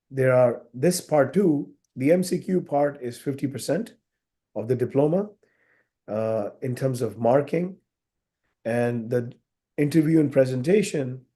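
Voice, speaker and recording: A man in his thirties on a video call, briefing a student before an oral exam; he is under the weather, with a husky voice and frequent throat clearing, speaking in a measured way with many fillers. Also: mid-range voice, mellow. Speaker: examiner.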